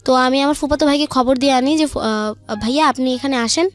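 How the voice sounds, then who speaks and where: A woman's voice: high-pitched, steady tone, a little fast. A young woman with a Bangladeshi accent, a guest telling her own life story in informal speech. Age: young adult.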